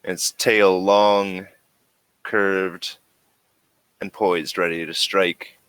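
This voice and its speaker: A man running a tabletop horror game as game master, narrating in a slow, suspenseful way with pauses. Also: low-pitched, flat delivery, a bit bright, clear, resonant tone, no echo.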